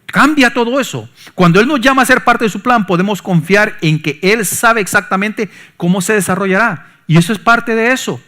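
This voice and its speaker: A middle-aged pastor, preaching a sermon that swings from forceful emphasis to soft, low asides. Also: male voice, normal speed. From Honduras. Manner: preaching with sudden soft drops and rising emphasis.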